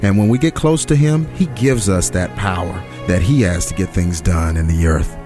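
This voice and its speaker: An actor playing a pastor who speaks fervently about God's power. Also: male voice, deep voice, moderate pace, over music, natural voice. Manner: fervent, preachy. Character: encouraging young pastor. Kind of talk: audio drama acting.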